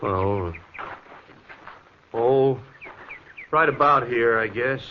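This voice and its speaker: An American actor playing an anxious, earnest cowhand with folksy speech in a 1950s radio western. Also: male voice, medium pitch, drawn-out words. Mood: anxious explaining. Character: agitated cowhand reporting trouble to the marshal. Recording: muffled, faintly noisy 1950s radio recording.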